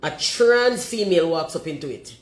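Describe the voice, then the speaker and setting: A Jamaican woman with a deep voice, talking forthrightly and emphatically and slipping into patois. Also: lively intonation, moderate pace, reverberant. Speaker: commentator.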